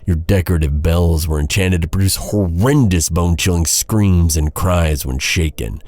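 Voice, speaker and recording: An actor playing an embittered, vengeful knight in a dark fantasy audio roleplay, brooding and intense, with halting dramatic delivery. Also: male voice, deep voice, no room sound, studio quality, close to an everyday voice. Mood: grave, restrained. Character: vengeful, embittered male knight interrogating his captive enemy.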